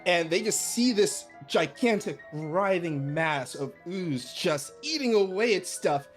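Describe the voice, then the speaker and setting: A podcast co-host in casual comedic chat, telling stories in an animated, emphatic way. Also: mid-range voice, measured pace, faint music behind. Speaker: podcast co-host and game master.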